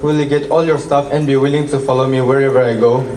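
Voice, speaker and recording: Devoted village sweetheart, played by a man, medium-pitched, earnest, folk play, distant roomy sound. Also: fairly even intonation, quick pace, warm tone, close to an everyday voice. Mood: earnest, secretive. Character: devoted poor young village lover. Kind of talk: amateur folk tale acting. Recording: echoey room, distant mic, faint noise.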